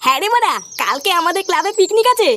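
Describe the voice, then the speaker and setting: A man voicing a cocky, whiny, foul-mouthed young cartoon slacker with a pitched-up voice. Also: lively intonation, rapid-fire, bright, forceful tone, slight background noise, exaggerated voice. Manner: whiny, brash, swearing. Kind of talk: comic cartoon dialogue.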